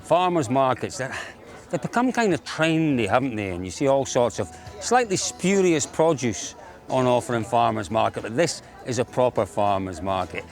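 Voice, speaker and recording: Jovial Scottish presenter outdoors. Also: male voice, mid-range voice, lively intonation, normal speed, soft background music. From Scotland. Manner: jovial, joking, enthusiastic. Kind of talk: chatty on-location presenting. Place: outdoor rural market.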